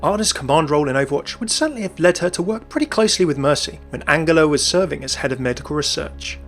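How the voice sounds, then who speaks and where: A man's voice: fairly high pitch, animated, fast, fairly bright tone. A man hosting and narrating a scripted game lore commentary. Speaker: video host and narrator. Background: music.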